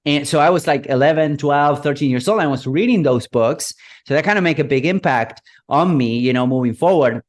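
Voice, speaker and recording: A man in his thirties from Argentina with an Argentine accent, speaking as an interview guest who tells his story in a relaxed, rambling way full of fillers. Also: fairly high pitch, a little fast. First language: Spanish. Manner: relaxed, rambling with many fillers like "you know" and "whatnot". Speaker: guest, digital marketing consultant.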